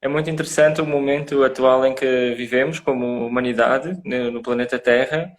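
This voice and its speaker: A man's voice: mid-range voice, steady tone, moderate pace. A man with a European Portuguese accent, a guest on a live online talk, speaking thoughtfully with frequent hesitant fillers. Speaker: guest, association president. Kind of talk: live video call interview. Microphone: video call.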